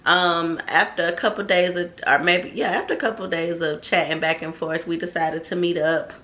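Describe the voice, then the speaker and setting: A woman's voice: lowish voice, steady tone, normal speed, fairly bright tone. A woman, chatting and teasing in a casual couple's Q&A vlog. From Atlanta, Georgia, US. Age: 30s. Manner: chatty, laughs often, teasing. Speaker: vlog co-host.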